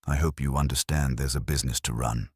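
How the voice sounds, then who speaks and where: A man's voice: very deep voice, steady tone, quick pace, husky, dry recording, studio quality. A man voicing a touchy, officious businessman who guards his profits in an audiobook mystery. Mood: prickly.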